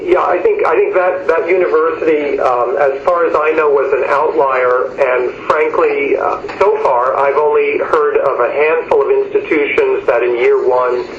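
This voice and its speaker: A man's voice: a little high, fairly even intonation, normal speed, few pauses. A man speaking as a university representative in a telephone meeting, explaining in formal wording with hesitant starts. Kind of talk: work meeting discussion on a conference call. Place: telephone conference call. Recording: dull, echoey conference phone line, faint noise.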